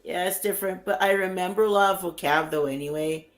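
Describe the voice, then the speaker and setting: Woman, lowish voice, easygoing panelist. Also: quick pace. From born in England, raised in Canada. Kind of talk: casual panel conversation.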